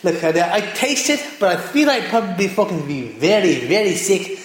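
A man's voice: high voice, expressive, normal speed. A man playing a crude, foul-mouthed Irish cook who rants and swears through a cooking parody, in a put-on Irish accent. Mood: grumbling rant. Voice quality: constant swearing, gruff rants. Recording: very echoey room.

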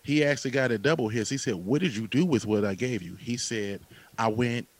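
A man in his late thirties in a casual podcast conversation, talking with animated emphasis, repeating phrases and using casual slang. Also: medium pitch, fairly quick. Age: late 30s.

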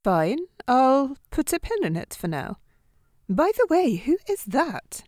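A woman's voice: sits high, very animated, takes it slowly, darkish tone, no room sound, clean studio sound, exaggerated voice. A woman playing a perky young contestant in an audio drama, bantering with a sarcastic edge. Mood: probing, wry.

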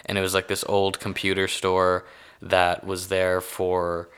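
A man with a Texan accent speaks in a low, crisp voice with a bright edge. He chats casually as a guest, wry and self-deprecating, often hesitating over how to put things. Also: monotone, unhurried. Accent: Texan American. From born in Hong Kong, raised between Texas and Pakistan. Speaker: interview guest. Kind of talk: casual interview chat.

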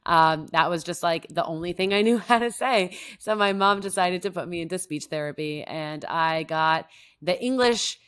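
Lower-voiced woman co-hosting a podcast. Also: moderate pace, a bit bright, clear, resonant tone. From Mexico. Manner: upbeat storyteller, easy and friendly. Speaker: podcast co-host. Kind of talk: casual podcast chat.

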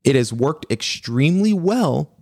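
Brisk male podcast co-host. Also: fairly high pitch, sing-song, a little mellow, dry recording, studio quality. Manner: brisk, agreeable, quick to affirm. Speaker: podcast co-host reading listener questions. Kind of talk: casual podcast chat.

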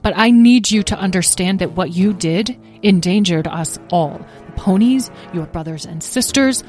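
A woman voicing a concerned mother dragon in a storybook, with a measured, restrained, serious manner. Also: mid-range voice, a little mellow, faint music behind, natural voice. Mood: stern, restrained.

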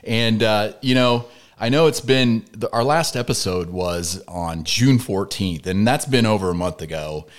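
Low-voiced man hosting a casual podcast. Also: expressive, unhurried. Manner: jokey, teasing. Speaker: podcast host. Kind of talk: casual banter and interview. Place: podcast studio.

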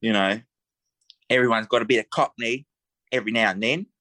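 A man's voice: medium pitch, fairly quick, bright, forceful tone, no room sound. A man with a western Sydney Australian accent, chatting as a podcast guest in a laid-back way, often searching for the right words. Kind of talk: casual chat.

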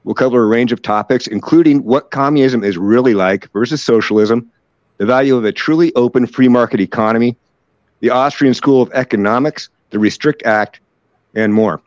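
A man's voice: mid-range voice, a little fast, darkish tone, no room sound. A man hosting an interview podcast, asking loose, conversational questions. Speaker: podcast host. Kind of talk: casual interview chat. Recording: dry, dull lo-fi sound.

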